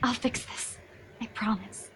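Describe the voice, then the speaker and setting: A woman's voice: on the high side, steady tone, a little slow, a bit bright, slight background noise. An actress playing an anxious, earnest young woman in a game who pleads and apologizes insistently. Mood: apologetic.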